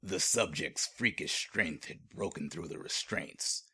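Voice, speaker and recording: A man playing a grave, ominous narrator of a horror audio drama, theatrical and gravelly. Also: low voice, measured pace, sharp tone, heavily acted.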